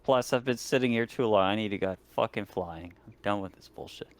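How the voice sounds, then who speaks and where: A man playing a tabletop game in character, talking casually with wry, gruff, sweary lines. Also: lowish voice, fairly quick, slight background noise. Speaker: player in a tabletop RPG.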